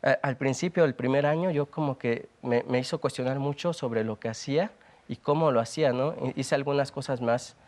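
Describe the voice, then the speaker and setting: A young Mexican man talks earnestly as an interview guest, in a voice of middling pitch. He thinks aloud, circling back with fillers and restarts as he explains himself. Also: a little fast, a little mellow. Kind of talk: conversational TV interview.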